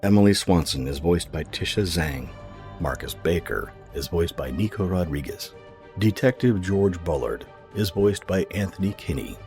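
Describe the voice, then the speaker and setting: A man's voice: low-pitched, a little mellow. A man narrating an audio drama in a scripted storytelling style, reading both story and credits. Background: music.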